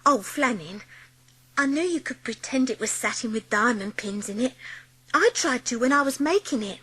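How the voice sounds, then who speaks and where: Young servant girl, Cockney accent, highish husky voice, humble, eager, earnest, audiobook drama. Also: lively intonation, moderate pace, bright, forceful tone, full character voice, poor audio. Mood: humble, grateful. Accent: English working-class, Cockney-style. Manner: ungrammatical, deferential, earnest.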